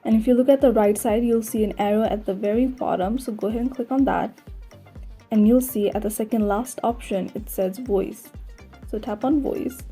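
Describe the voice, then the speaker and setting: A woman's voice: on the high side, steady tone, moderate pace, dark tone, breathy edge, faint music behind. A woman narrating a step-by-step tutorial in a plain, instructional manner. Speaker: tutorial host.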